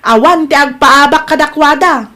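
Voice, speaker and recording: Lady doing a gossipy shocked ilocano store owner, really high voice, always complaining, homemade radio drama. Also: normal speed, bright, forceful tone. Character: scandalized village woman store owner, tattling. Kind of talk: amateur Ilocano audio drama acting.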